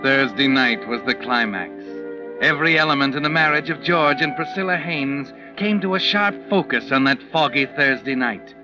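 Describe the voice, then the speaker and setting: An actor playing an all-knowing, taunting mystery narrator, dramatic and insinuating, in 1940s radio style. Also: male voice, medium pitch, normal speed, lightly acted. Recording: dull 1940s radio recording. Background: music.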